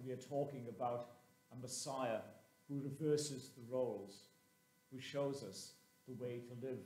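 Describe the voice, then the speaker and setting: A man in his sixties preaching a sermon as a clergyman, with measured, emphatic phrasing and pauses, recorded inside a church. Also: mid-range voice. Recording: roomy, echoing church sound.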